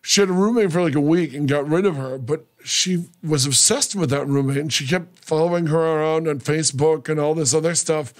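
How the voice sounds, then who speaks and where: A man's voice: a little high, brisk, few pauses. A man hosting a radio interview, telling stories enthusiastically in a small studio on a desk mic. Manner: talkative, storytelling, enthusiastic. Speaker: radio show host. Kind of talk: casual interview chat.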